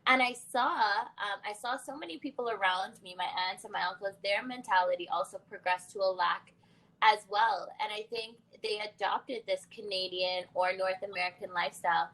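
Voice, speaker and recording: Animated woman podcast host. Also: medium pitch, normal speed, rough recording. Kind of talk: conversational interview.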